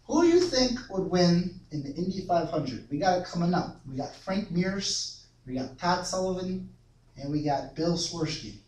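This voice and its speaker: A man playing an excitable sports superfan host in a comedy sketch, stretching words, repeating himself and tagging questions with "eh". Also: high voice. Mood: excitable, chummy. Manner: drawn-out, repetitive, tags questions with "eh". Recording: echoey room, distant mic, faint noise.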